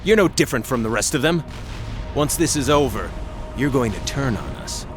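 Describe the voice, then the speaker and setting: An actor playing a bitter, paranoid rogue military commander in a video game, accusing and seething. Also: male voice, medium pitch, animated, moderate pace, music in the background, lightly acted. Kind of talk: video game cutscene acting.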